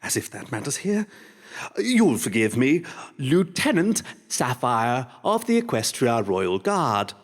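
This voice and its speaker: A man's voice: medium pitch, very animated, slow pace. A man playing a sly, mocking royal vizier in a fan-made radio drama, theatrical, insinuating and mock-polite. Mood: haughty. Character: sly, mocking male royal vizier, needling an old rival. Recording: long hall reverb.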